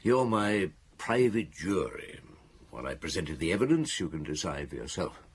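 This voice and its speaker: A seasoned police chief inspector in an audio drama. His low, husky voice moves expressively as he deliberately lays out a case with wry reflection. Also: big pitch swings, exaggerated voice. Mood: reflective. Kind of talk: radio drama dialogue, recounting a case.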